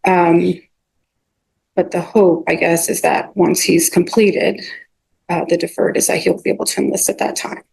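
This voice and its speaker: Halting woman attorney addressing a judge. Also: on the low side, little pitch movement, vocal fry, a little mellow, breathy edge, poor audio. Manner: halting, repetitive, restarting phrases often. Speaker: defense attorney. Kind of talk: addressing a judge in a court hearing. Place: courtroom.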